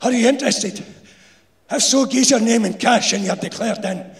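A man's voice: very high voice, normal speed, pitched above the performer's own voice. A man voicing a wheezing, mumbling, irritable Glaswegian pipe insulator in a live story reading. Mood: wheezing salesman. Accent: Glaswegian Scottish. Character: wheezing, irritable pipe insulator, dying smoker selling goods. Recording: echoey theatre stage.

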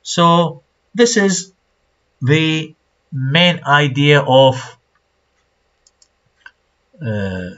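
A man with an Iraqi Arabic accent teaches in a recorded online lecture. He speaks slowly and his pitch swings widely as he stresses words. He repeats key points and asks rhetorical questions. The sound is dull and lo-fi, like a screen recording. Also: sing-song, a bit bright. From Iraq.

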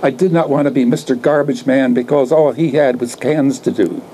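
An elderly man reads his poems aloud to a microphone in a garden. His voice is dark and relaxed, with a middle pitch. He places short phrases deliberately, in a declamatory way, over light ambient noise. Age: older, 70s. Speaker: poet reading own work. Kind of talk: live poetry reading with asides. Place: outdoor garden reading. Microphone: microphone on a stand.

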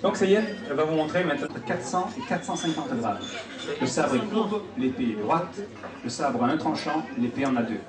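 A man's voice: fairly high pitch, animated, brisk. A man giving live commentary as a martial arts master at a demonstration, explaining instructively in short phrases. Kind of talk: live spoken commentary for an audience. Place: restaurant hall during a public demonstration. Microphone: handheld microphone, heard through a far camera. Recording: distant camera, echoey room, loud crowd.

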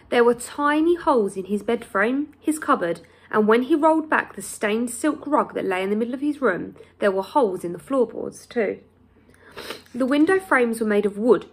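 A woman in her thirties reads a children's storybook aloud like a teacher, deliberate and expressive, with dramatic pauses. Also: a little high, vocal fry, breathy edge. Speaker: primary school teacher reading aloud. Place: small plain room indoors.

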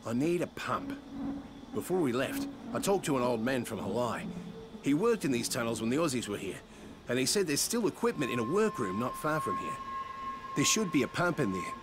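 A man's voice: medium pitch, huge pitch swings, brisk, noisy background. An actor playing a practical, wry male survivor engineer in video game cutscene dialogue.

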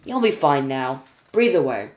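A woman reading aloud and voicing an eccentric, excitable man who pilots a flying elevator. He is fussy, bossy and quick to exclaim. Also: very deep voice, full character voice.

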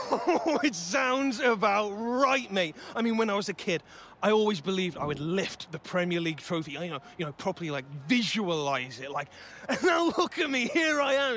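An actor playing a cheeky, excitable young footballer in game cutscenes, chatty and matey. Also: male voice, high voice, a little hiss or hum, heavily acted. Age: young adult. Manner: chatty, laughing, matey slang. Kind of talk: acted game cutscene dialogue.

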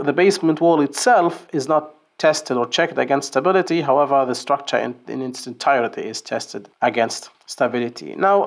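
A man teaching an engineering lesson over a screen-share drawing, explaining step by step with fillers and restarts. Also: medium pitch. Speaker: engineering instructor. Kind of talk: whiteboard tutorial. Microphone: screen recording voice-over.

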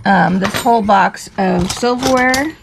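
A woman's voice: mid-range voice, unhurried, creaky. A middle-aged American woman chatting casually as a reseller showing her finds. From United States. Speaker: reseller and vlog host. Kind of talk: casual haul chat. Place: cluttered indoor workroom. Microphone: camera a few feet away. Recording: noisy room, clinking items handled.

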